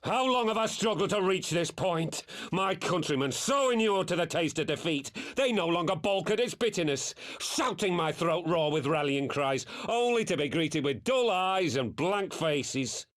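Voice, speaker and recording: An actor playing a fanatical, embittered rebel commander in a fantasy game, with a grandiose, theatrical delivery. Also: male voice, sits high, animated, a bit bright. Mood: bitter. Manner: grandiose, bitter, slow dramatic declamation.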